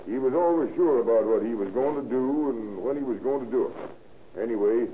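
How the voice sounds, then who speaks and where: An actor playing a plain-spoken, suspicious country neighbor in a 1930s radio crime drama. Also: male voice, mid-range voice, moderate pace, lightly acted. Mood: insistent. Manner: plain-spoken, folksy, insistent. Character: folksy, suspicious rural neighbor man helping the lawmen. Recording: tinny old radio recording, faint noise.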